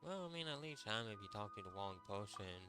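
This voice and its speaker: A man's voice: on the low side, animated, quick pace, music in the background. A man giving casual gameplay commentary as a streamer, rambling and muttering to himself. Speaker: game streamer.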